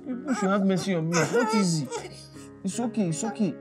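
An exasperated Nigerian father in a screen drama, juggling children on his own. His delivery is very animated, repeating phrases as he pleads or scolds. Music plays behind his voice. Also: high-pitched, brisk. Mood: flustered soothing. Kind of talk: naturalistic screen drama acting.

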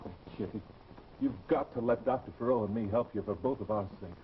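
A man's voice: on the low side, fairly quick, lightly acted. An actor in his thirties playing an earnest fiancé, urgent and reassuring, in early television drama style. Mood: urgent, insistent. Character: earnest young fiancé pleading with troubled beloved. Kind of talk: 1950s live television drama acting. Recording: dull, noisy old television soundtrack.

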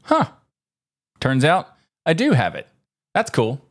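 A man's voice: a little high, wildly swooping pitch, slow pace. A man in his thirties presenting a casual scripted tech explainer to camera, conversational with chatty personal asides, on a close studio mic. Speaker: tech video host. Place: small indoor home studio. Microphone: close broadcast mic on boom arm.

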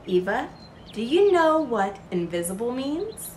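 A woman's voice: fairly high pitch, sing-song, unhurried, roomy sound, a little hiss or hum. A woman voicing a kindly, patient, jolly old grandfather in a storybook, with encouraging delivery. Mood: patient, teasing.

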